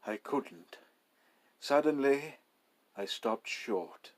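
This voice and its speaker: A man reading a literary short story aloud as narrator, in a measured, dramatic style. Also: medium pitch, animated.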